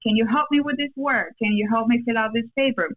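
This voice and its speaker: A woman's voice: a little high, quick pace, lo-fi sound. A woman from Cuba and Venezuela, a native Spanish speaker, answering interview questions as an attorney in short, simple phrases. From born in Cuba, raised in Venezuela, in the US since her teens. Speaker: podcast guest, attorney.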